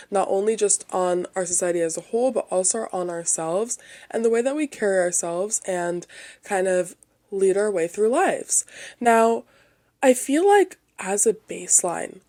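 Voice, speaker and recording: Raspy-voiced young woman chatting on her podcast. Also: lowish voice, mellow. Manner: chatty, rambling, many "you know" asides. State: recovering from illness, voice raspier and nasal. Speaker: podcast host. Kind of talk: casual solo podcast monologue.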